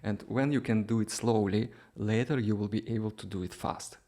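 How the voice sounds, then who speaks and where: A man's voice: on the low side, fairly even intonation, moderate pace, mellow. A man, a non-native English speaker, giving an informal music lesson in a hesitant, explanatory way with little check-in questions. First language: not English. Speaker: duduk teacher. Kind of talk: informal instructional talk.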